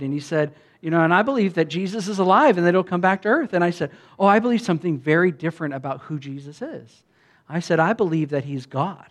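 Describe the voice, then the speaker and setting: A man from the United States, a pastor, telling a story in a sermon and acting out the lines of the people in it. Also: sits high. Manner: conversational, acts out quoted dialogue.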